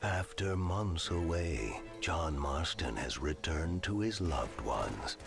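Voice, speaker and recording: A man voicing a grave game trailer narrator, slow and weighty, in scripted narration. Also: lively intonation, exaggerated voice. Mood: ominous. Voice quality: deep gravelly voice. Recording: voice over loud music.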